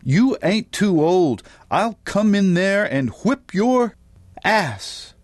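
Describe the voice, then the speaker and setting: A man's voice: medium pitch, very animated, takes it slowly, dry recording. A man voicing a scolding, pious old mother in an audiobook, indignant, prim and preachy. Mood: angry, scolding. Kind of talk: audiobook character voice.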